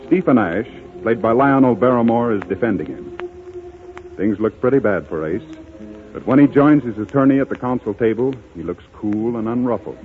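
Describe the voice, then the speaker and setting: An American man delivering scripted 1930s radio narration and sponsor announcements on a tinny, muffled broadcast. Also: medium pitch.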